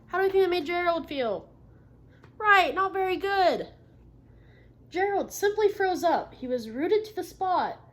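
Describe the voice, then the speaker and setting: A woman reading a picture book aloud as a teacher, expressively, pausing to ask questions and confirm answers. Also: extremely high pitch, animated. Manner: instructive, checking in with listeners by questions and affirmations. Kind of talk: interactive children's read-aloud, expressive storybook narration with questions. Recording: noticeable background noise.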